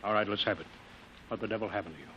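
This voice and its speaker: An actor in an old-time radio drama playing a brusque, impatient middle-aged boss of a rocket test field who barks clipped orders. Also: male voice, fairly low pitch, very fast, slight background noise, close to an everyday voice. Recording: muffled old radio broadcast recording.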